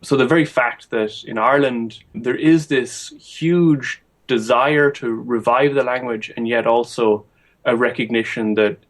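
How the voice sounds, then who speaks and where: A young adult man answering as a guest historian in an interview, measured and thinking aloud with frequent ums. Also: mid-range voice.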